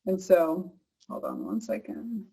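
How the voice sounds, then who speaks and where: A woman's voice: lowish voice, wildly swooping pitch, takes it slowly, vocal fry, warm tone, husky, rough recording. An earnest woman with an East African accent delivers a prepared personal talk. Her voice sits at an ordinary conversational level, and she speaks as an advocate sharing her own story. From Uganda. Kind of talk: prepared personal speech, partly read.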